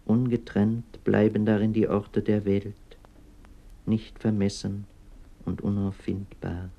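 A man's voice: fairly low pitch, level tone, takes it slowly, vocal fry, dull audio. A man giving a scripted recitation of literary poetry. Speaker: reader of poems.

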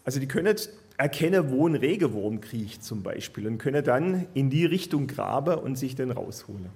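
A man's voice: medium pitch, sing-song, moderate pace, gravelly, darkish tone. A man speaking as a zoologist guest, explaining animal facts to children informally and matter-of-factly.

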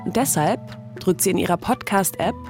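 A woman's voice: lowish voice, moderate pace, darkish tone. A woman podcast host, reading scripted storytelling narration. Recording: music playing behind the voice. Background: music.

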